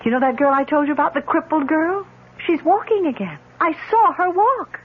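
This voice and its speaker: A woman's voice: high-pitched, animated, moderate pace. An actress playing a wealthy, stubborn, desperate woman patient, with dramatic, insistent delivery in old-time radio drama style. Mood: excited. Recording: dull lo-fi radio recording with hiss.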